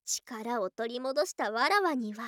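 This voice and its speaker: A high, girlish lamia for an ASMR voice drama, speaking in grand, archaic turns of phrase. The snake spirit is proud yet affectionate and takes her time, in a very dry, close recording. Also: expressive, measured pace, no echo. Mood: proud, explaining. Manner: archaic haughty old-fashioned speech, theatrical. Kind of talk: Japanese ASMR situation voice drama.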